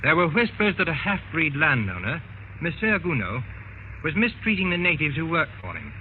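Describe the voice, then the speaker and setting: A man's voice: on the high side, very animated, normal speed. A man acting a haunted, uneasy investigator who tells a grim tale in a vintage radio drama, with theatrical, ominous delivery. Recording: dull, noisy old radio recording.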